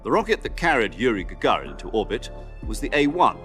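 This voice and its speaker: An actor playing a confident, urbane military commander in a video game, authoritative and deliberate. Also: male voice, mid-range voice, big pitch swings, fairly bright tone, soft background music. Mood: assured, explanatory. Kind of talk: dramatic video game acting.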